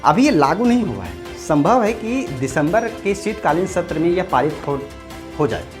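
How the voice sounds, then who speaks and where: Low-pitched woman narrating over music. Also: big pitch swings, moderate pace. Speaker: news narrator. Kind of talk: scripted explainer narration. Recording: music behind the voice. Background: background music.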